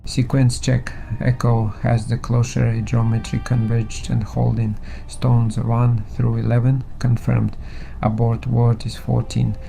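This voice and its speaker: A man playing a terse, steady engineer in an audio drama, talking in a clipped, procedural way. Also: mid-range voice, a little slow, faint music behind, low-quality recording. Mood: controlled, resolute. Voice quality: dark, gravelly, creaky.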